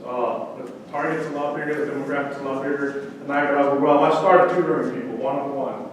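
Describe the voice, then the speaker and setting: A man from central Mexico whose first language is Spanish, giving a lively startup pitch and quizzing the audience. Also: fast, distant mic, muffled recording, slight background noise. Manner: conversational, quizzes the audience with questions. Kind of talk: energetic startup pitch talk. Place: event room with audience. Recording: echoey room, speaker away from mic.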